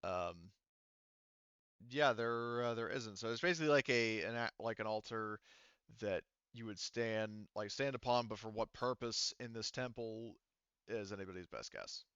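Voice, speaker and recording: A man improvising narration as game master of a tabletop roleplaying game, haltingly searching for words as he describes scenes. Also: fairly low pitch, a bit bright, clear, resonant tone, dry recording, dull audio.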